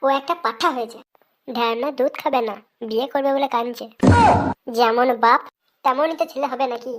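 A woman's voice: high voice, a little fast, fairly bright tone, slight background noise. A woman voicing an exasperated but indulgent village mother in a comic cartoon, colloquial and placating. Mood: irritated. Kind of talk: comic Bengali cartoon dubbing.

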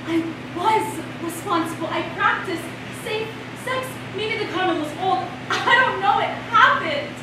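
A woman plays a frightened but defiant teenage girl in a stage drama. The voice is high, heard from a distance with heavy echo and clear noise around it. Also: a little slow, hardly any pauses. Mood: frightened, defensive. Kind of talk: live one-act stage monologue. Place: festival stage before a seated audience. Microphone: far camera. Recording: very echoey, noisy stage, distant mic.

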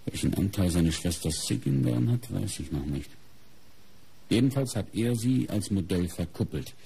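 A methodical middle-aged police inspector for a radio mystery. His low, husky voice is full of gravel. He reasons in a measured, sober way, with plenty of movement in the pitch. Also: animated, mellow, soft background music. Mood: matter-of-fact. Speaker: actor in a radio crime play. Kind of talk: German radio crime drama acting.

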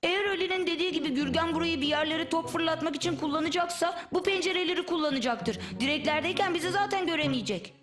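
An actress playing a confident, decisive child who leads a young team in a children's cartoon, giving brisk instructions. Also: female voice, very high-pitched, over music. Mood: explaining, determined.

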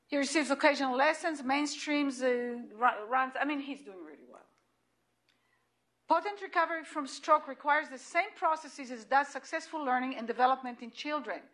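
A middle-aged woman with an Israeli accent, giving an animated lecture in a room, heard from a distant camera. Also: high voice, normal speed. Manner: animated and emphatic, with restarts and repeated points. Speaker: lecturer at hospital grand rounds. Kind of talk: lecture presentation.

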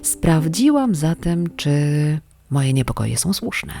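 A woman's voice: low-pitched, sing-song, slow pace, creaky, mellow, a little hiss or hum. A middle-aged woman hosting a radio feature, reading scripted narration. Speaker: radio host. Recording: music behind the voice. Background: background music.

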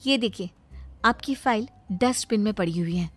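A woman's voice: mid-range voice, moderate pace, soft background music, lightly acted. A woman voicing an earnest, wronged young wife who protests her innocence in a family cartoon. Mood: accusing. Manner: protesting, defensive. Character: wronged young Indian wife, earnest, firm when cornered. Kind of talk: animated family drama voice acting.

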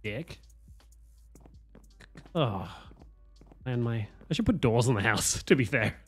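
A man, streaming a game from home on a headset, hoarse from a cold, swearing and joking with chat. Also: medium pitch, lively intonation, slow, faint music behind. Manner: swearing, self-mocking, chatting to viewers. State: hoarse, losing his voice from a cold. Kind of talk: casual live-stream chatter while playing.

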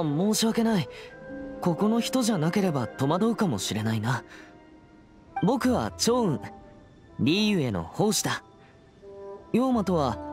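A young man voicing a serious, earnest teenage boy exorcist in a Japanese anime game, with a formal, reserved and polite manner. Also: a little high. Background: background music.

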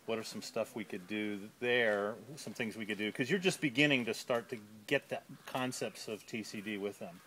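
A man's voice: on the low side, moderate pace, few pauses, fairly bright tone, a little hiss or hum. A man interviewing staff outdoors in a garden, upbeat and casual, leading the questions.

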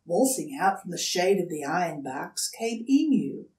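An older woman reading a children's story aloud, deliberate, dramatic and expressive, in a living room. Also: fairly low pitch, reverberant, distant mic. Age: older, 60s or above. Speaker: storybook reader. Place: indoors, furnished living room.

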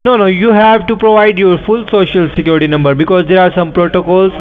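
A man with a fairly high voice delivers scripted instructions in a formal, insistent way. He comes through a thin, tinny phone line. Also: steady tone, fast. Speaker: scam caller posing as an officer.

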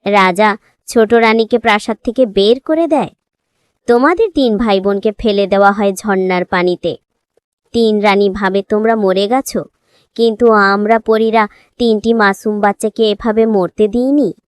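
A woman's voice: mid-range voice, normal speed, smooth, dry recording, lightly acted. A woman voicing a benevolent fairy queen in a cartoon, explaining things solemnly to a young girl. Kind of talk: cartoon voice acting.

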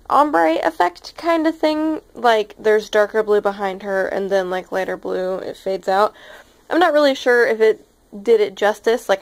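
A young woman with a nasal, slightly sick-sounding voice, chatting casually and rambling with many ums and likes as she hosts her art channel. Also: mid-range voice. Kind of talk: casual voice-over chat over a speed paint.

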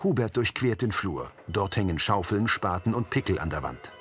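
A male audio description narrator with a fairly low voice. He reads scripted scene descriptions with lively intonation, and music plays clearly behind him. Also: normal speed. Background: background music.

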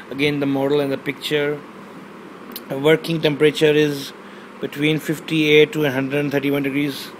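A man's voice: fairly high pitch, noisy background. A man, an Urdu speaker, casually unboxing a product on a handheld phone camera indoors, often hesitating with fillers. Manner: hesitant, many fillers, reads box labels aloud. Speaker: unboxing reviewer. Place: indoors, small bedroom.

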